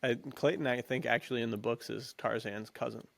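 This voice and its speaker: A man's voice: medium pitch, steady tone, a little fast, vocal fry. A man co-hosting a podcast, chatting casually and enthusiastically in slang about adventure stories. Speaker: podcast co-host. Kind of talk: casual chat about adventure books and films.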